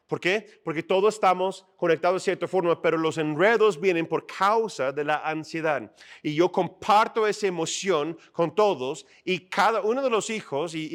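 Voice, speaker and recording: A middle-aged male pastor preaches on a church stage, his voice sitting a little high for a man. He talks in a loose, conversational way, acting out little everyday scenes and often checking in with the congregation. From outside Mexico. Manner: conversational, animated, acts out little scenes and asks "¿no?". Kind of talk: sermon.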